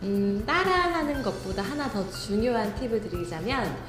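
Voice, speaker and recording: Calm, encouraging woman instructor. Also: on the high side, normal speed, echoey room, over music. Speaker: speech instructor. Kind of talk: interview answers.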